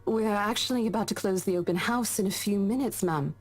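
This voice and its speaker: A woman's voice: medium pitch, fairly quick, natural voice. An actress playing a courteous, professional realty woman in a visual novel game. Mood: courteous, businesslike. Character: courteous, professional woman running an open house. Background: music.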